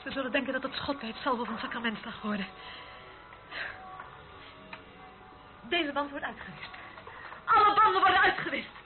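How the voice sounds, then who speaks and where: A man's voice: very high voice, lively intonation, fast, over music. A man in a radio play acting a cold, authoritative official in charge of secret tapes. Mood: cold, commanding. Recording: noisy, tinny old radio recording.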